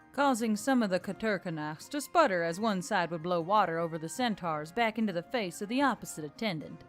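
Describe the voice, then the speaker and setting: A woman reading a scripted fantasy story as narrator. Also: medium pitch. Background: music.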